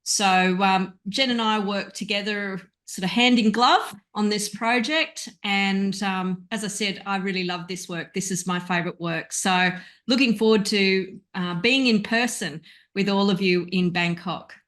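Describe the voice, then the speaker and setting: A woman introduces herself enthusiastically on a video call. She speaks at a mid pitch with some fillers as she goes. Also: unhurried, a bit bright. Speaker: course lead.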